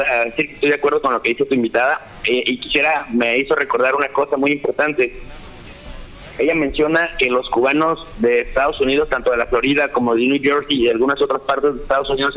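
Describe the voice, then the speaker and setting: A man's voice: medium pitch, steady tone, quick pace, a bit bright, low-quality recording. A man in his thirties from Mexico, a guest professor lecturing on a political show from a home office, speaking over a video call. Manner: lecturing, with frequent "eh" fillers. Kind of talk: political talk show discussion.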